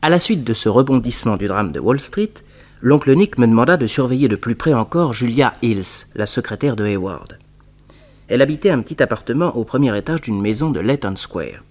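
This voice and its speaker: An actor playing a detective's wry young assistant in a French radio serial, narrating and questioning with polished suspense. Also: male voice, medium pitch, animated, brisk, darkish tone, natural voice. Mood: suspenseful narrating. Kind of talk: dramatic first-person narration and dialogue, radio serial. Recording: noisy old radio recording with hum.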